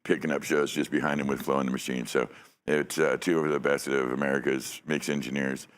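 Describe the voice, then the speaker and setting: A middle-aged man hosting a podcast from a dark studio set, talking on a studio mic in a rambling, profane way and laughing often. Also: a bass voice, level tone, a little fast. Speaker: podcast host. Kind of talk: casual chat. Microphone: studio mic on a stand.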